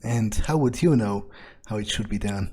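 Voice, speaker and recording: A man's voice: fairly low pitch, moderate pace, dark tone, breathy edge. A man doing in-character commentary as he plays through a game, musing and thinking aloud with some hesitation. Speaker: gameplay host role-playing his character.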